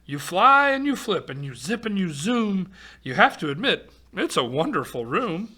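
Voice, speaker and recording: Animated, high-pitched man reading a children's storybook. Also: moderate pace, slightly rough. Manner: expressive, exclaiming, chatty asides. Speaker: volunteer storybook reader for children. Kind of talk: reading a rhyming picture book aloud with ad-libbed asides to children.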